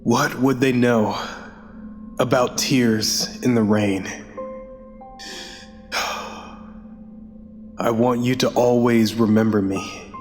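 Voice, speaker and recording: A man playing an anguished, haunted lover in an audio drama, with emotional, halting delivery. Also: mid-range voice, steady tone, in a large hall. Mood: mournful. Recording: music under the voice. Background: background music.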